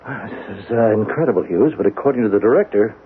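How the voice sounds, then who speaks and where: A bureaucratic general in a 1970s radio play, flustered and dismissive. He hems and harrumphs in a husky voice, heard through a narrow, phone-like old recording. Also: on the low side, animated, fast talker. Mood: flustered, exasperated. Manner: hesitant, harrumphing, repeats himself. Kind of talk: 1970s radio drama acting.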